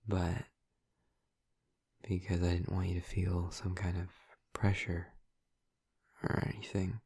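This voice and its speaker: A man playing a gentle, awkward, affectionate vampire in an intimate audio roleplay, speaking softly and breathily with hesitant, halting tenderness, close to the mic. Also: sits low, monotone, dry recording, heavily acted. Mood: awkward, sincere. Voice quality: soft, breathy, husky. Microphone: close, dry microphone.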